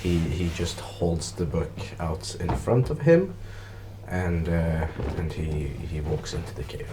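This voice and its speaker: A man with a low voice takes part in a tabletop roleplaying game. He improvises a devout character with plain, insistent delivery. The recording has clear noise behind him. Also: lively intonation, a little slow. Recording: clearly noisy recording with clicks.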